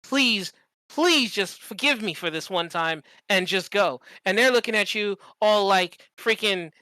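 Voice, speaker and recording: Bright-voiced podcaster, animated and foul-mouthed. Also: medium pitch, measured pace, no echo. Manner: animated storytelling with frequent swearing. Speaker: podcast co-host. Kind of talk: casual comedic chat with acted-out examples.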